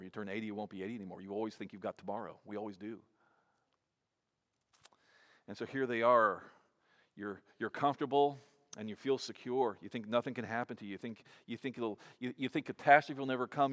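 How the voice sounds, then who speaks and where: A man's voice: lowish voice, steady tone, fast talker, a bit bright. A man in his fifties preaching a sermon in a conversational way, often restarting with repeated words. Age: 50s. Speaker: pastor.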